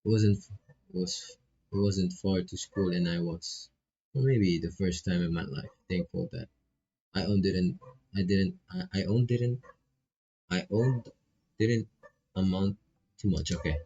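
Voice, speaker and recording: A man's voice: low voice, unhurried, long pauses, warm tone, slightly rough. A man who is not a native English speaker reads game text aloud as a streamer, with casual asides and stumbles over phrases. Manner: stumbles and repeats phrases while reading. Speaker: streamer playing a visual novel. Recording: dull, lo-fi microphone sound.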